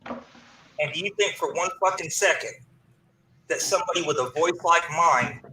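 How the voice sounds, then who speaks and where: A man's voice: fairly high pitch, moderate pace, sharp tone, echoey room. A man in his forties who swears a lot and leaves a recorded rant, threatening in a mocking way. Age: 40s. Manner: profane, aggressive, mock-threatening. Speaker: caller leaving a recorded rant. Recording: distant mic with faint noise.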